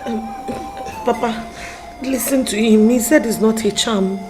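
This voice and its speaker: A woman's voice: a little high, unhurried, over music, natural voice. An actress playing a young woman who pleads and wails over her dying father. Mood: pleading. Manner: pleading, wailing. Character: young woman, grieving devoted daughter. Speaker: daughter.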